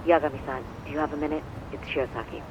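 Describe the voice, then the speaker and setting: An actor playing a courteous male legal professional in a video game, speaking politely and in a measured way. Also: on the high side, fairly even intonation, noisy background, natural voice. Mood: polite, thoughtful.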